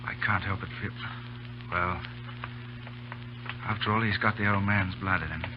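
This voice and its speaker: An actor playing a blunt, defiant cavalry officer held captive, speaking in a clipped, plain way in old-time radio drama. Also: male voice, low voice, fairly even intonation, normal speed, close to an everyday voice. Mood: weary, concerned. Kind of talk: 1930s radio drama acting. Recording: muffled old radio broadcast, clear noise.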